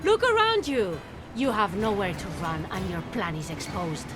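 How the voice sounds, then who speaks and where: An actress playing a bold, quick-witted video game heroine who answers with defiant, wry teasing. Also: female voice, medium pitch, wildly swooping pitch, loud music behind the voice. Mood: triumphant. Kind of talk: animated video game acting.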